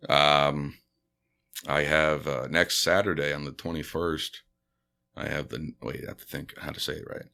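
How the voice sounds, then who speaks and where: A man's voice: extremely low pitch, little pitch movement, moderate pace, vocal fry, pushed and bright. A man who grew up speaking Spanish first, a comedian chatting on a podcast in a laid-back, rambling way full of fillers and restarts. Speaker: stand-up comedian guest. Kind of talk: casual podcast chat.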